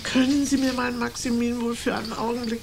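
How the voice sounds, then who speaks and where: A woman's voice: fairly high pitch, level tone, fast talker, creaky, noisy background. An actress playing a fussy, tearful lady who owns a pug, in a theatrical, gushing radio play manner.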